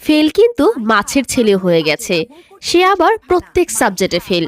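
A woman narrating a scripted children's story in an expressive way. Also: a little high, moderate pace.

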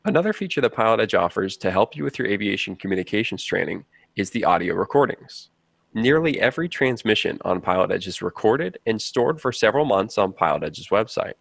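A man reads scripted explanatory narration in a fairly low voice. There is noticeable creak in his tone, and the recording is dry and close. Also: very animated, brisk, a bit bright, dry recording. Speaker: narrator of a flight training promo.

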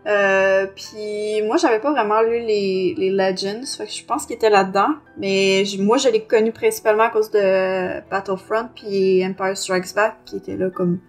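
Female review podcast co-host, Quebec French accent, average pitch, faint music underneath. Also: fairly even intonation, normal speed, few pauses. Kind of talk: casual review discussion, partly reading aloud.

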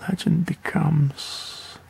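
Very creaky-voiced man, slow rambling game commentary. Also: fairly high pitch, fairly even intonation, darkish tone, slight background noise. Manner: rambling, offhand, hesitant. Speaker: gaming video creator. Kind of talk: casual game tutorial commentary.

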